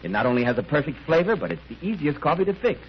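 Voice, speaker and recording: A man's voice: a little high, very animated, rapid-fire. A man working as a radio announcer and host, speaking scripted lines in a 1930s broadcast style. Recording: muffled, noisy 1930s radio recording.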